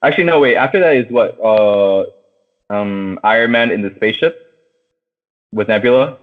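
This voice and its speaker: A man hosting a casual film chat, talking in slangy, profane language and steering the discussion like an excited fan. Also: fairly low pitch, normal speed. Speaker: podcast host. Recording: tinny phone-like sound.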